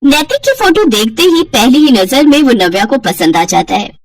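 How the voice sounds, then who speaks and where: A woman's voice: sits high, big pitch swings, normal speed. A woman narrating a scripted moral story as a storyteller.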